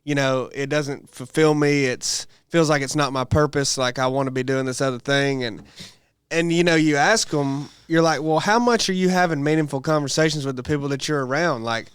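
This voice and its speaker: A man's voice: a little high, steady tone, moderate pace, clear, resonant tone, no echo, studio quality. An American man in a casual religious podcast discussion, talking in an emphatic, colloquial way as a host. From United States.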